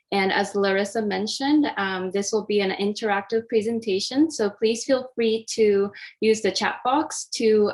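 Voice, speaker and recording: A woman from Japan, an oncology dietitian, giving a friendly, interactive online presentation over a video call, with many fillers and restarts. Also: mid-range voice. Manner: friendly, hesitant with frequent fillers.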